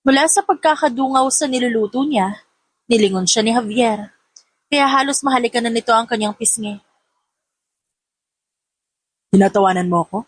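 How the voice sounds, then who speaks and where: A woman's voice: on the high side, fairly quick, husky, no room sound. A woman narrating a scripted romance story as an audiobook reader.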